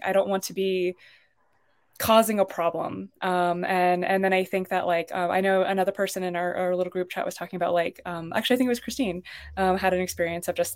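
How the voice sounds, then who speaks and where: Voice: mid-range voice, clean tone. A podcast panelist speaking casually and hesitantly, leaning on many fillers and self-corrections. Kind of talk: casual discussion.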